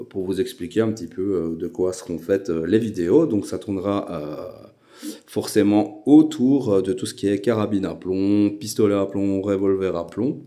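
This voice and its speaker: A Belgian man with a Belgian French accent, hosting a casual vlog and talking with many hesitant filler pauses. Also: fairly low pitch, warm tone. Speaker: YouTube channel host.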